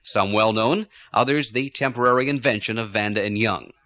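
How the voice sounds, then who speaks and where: Bright-voiced man narrating a radio documentary. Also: lowish voice, moderate pace, no echo.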